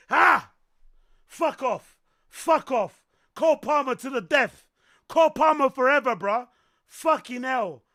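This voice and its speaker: A man reacting live to a football match, excitable and yelling and swearing at the screen. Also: extremely high pitch. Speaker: streamer host.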